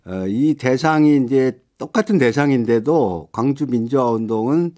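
A middle-aged man explaining things as a radio interview guest in a studio, often pausing mid-sentence. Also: medium pitch, darkish tone. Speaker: interview guest, activist group chair. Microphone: studio condenser microphone up close.

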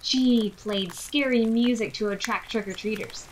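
A woman's voice: fairly high pitch, a little slow, a little hiss or hum, low-quality recording. A woman, reading a picture book expressively for preschoolers. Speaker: children's storytime reader. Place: small furnished room indoors.

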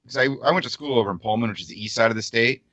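A man's voice: mid-range voice, racing, a bit bright, no room sound. An American man in his thirties chatting casually as a podcast host, with some swearing, over a slightly dull call. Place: dim home room, on a video call.

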